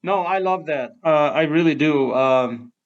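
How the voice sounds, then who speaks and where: A man's voice: a little high, a little slow. Iranian-accented man, enthusiastic host. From Iran. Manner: enthusiastic, admiring, chuckles often. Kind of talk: casual interview chat. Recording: dull lo-fi sound.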